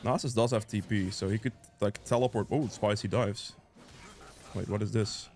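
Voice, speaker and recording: A man's voice: on the low side, steady tone, normal speed, darkish tone, a little hiss or hum. A man giving casual live commentary on a video game as he plays, thinking aloud and reacting as he goes, sniffly with a cold. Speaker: gameplay guide creator and player.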